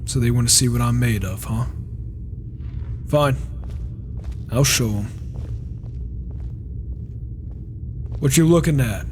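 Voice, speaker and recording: A man's voice: medium pitch, normal speed, a little mellow, close to an everyday voice. A man playing a proud, brash wandering warrior in a fantasy game roleplay, challenging and sardonic, on a noisy microphone. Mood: defiant. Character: proud, cocky, reclusive male wandering warrior. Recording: noisy microphone with rain and thunder.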